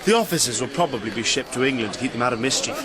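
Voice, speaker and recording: An actor playing an ardent young army officer in a radio drama, boyish, affectionate and good-humoured. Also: male voice, medium pitch, expressive, very fast, background noise, lightly acted. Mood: tender, curious. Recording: crowd chatter behind.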